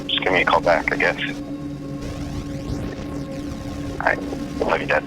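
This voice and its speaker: A man acting a worried, awkward college-age son leaving a halting, subdued voicemail in an audio drama. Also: deep voice, little pitch movement, over music, lightly acted, low-quality recording. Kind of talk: naturalistic fiction podcast voicemail acting.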